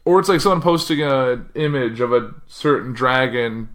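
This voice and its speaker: A man's voice: medium pitch, steady tone, normal speed, fairly bright tone. A man hosting a solo podcast in a casual monologue, thinking aloud and often trailing off mid-sentence. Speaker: podcast host.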